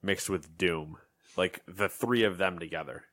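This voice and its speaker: A man's voice: low voice, a little slow, fairly bright tone, dry recording. A man co-hosting a gaming podcast in casual chat, rambling and joking, often trailing off into mumbles. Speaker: podcast co-host.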